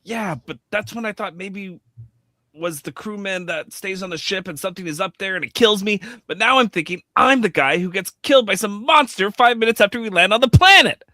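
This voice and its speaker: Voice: medium pitch, animated, fairly quick, pushed and bright, no room sound. A performer playing a panicky, whiny, fatalistic bit-part actor in a comedic live script read. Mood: nervous. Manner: anxious, whiny, escalating rambling.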